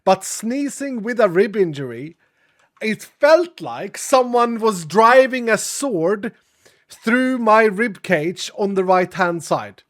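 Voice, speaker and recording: A man streaming live with a cold, chatting casually in a raspy, sniffly voice and thanking his viewers. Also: high voice, expressive, slow, a bit bright.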